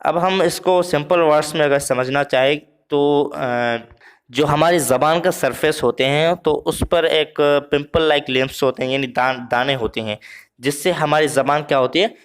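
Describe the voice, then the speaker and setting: A man teaching a biology lesson, slow and halting with many fillers, reading English lines and then explaining them simply. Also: a little high, clean tone.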